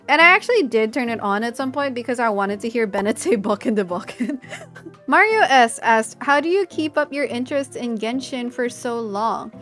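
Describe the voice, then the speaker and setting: Young woman from the Philippines, gaming creator; bright higher voice, enthusiastic casual Q&A delivery over faint music. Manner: chatty, enthusiastic, reading questions aloud. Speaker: gaming YouTuber.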